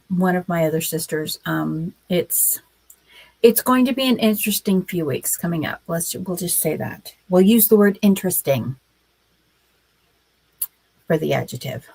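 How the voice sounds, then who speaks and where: A woman hosting a casual writing livestream, her voice scratchy from a cold, talking in a slow, halting way with many pauses. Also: fairly low pitch, creaky, a little mellow, dry recording.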